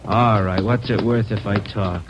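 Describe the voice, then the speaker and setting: A man's voice: lowish voice, steady tone, moderate pace, over music, lightly acted. A man acting a surly, defiant gunman in a 1940s radio crime drama, with an American accent and a sullen, evasive delivery. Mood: agitated, wheedling. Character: surly, defiant young punk gunman in custody. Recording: noisy, dull vintage radio recording.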